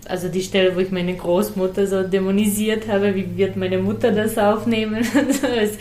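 A woman's voice: medium pitch, steady tone, normal speed, darkish tone, roomy sound, a little hiss or hum. A woman whose first language is Serbian, a novelist guest speaking in a hesitant, thoughtful way with many fillers in a casual conversation.

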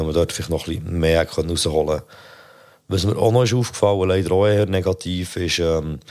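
A man with a Swiss German accent hosting a podcast, reviewing rap performances in a relaxed, critical way with many fillers. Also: low-pitched. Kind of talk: casual spoken review.

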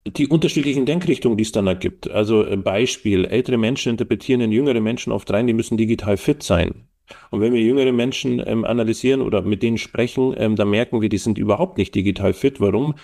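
A middle-aged man with a gravelly, low voice. His tone is dark and mellow, and he explains at length in an interview, pausing on fillers as he thinks. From Allgäu, Germany. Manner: thoughtful, explaining with frequent fillers. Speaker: guest researcher. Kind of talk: podcast interview.

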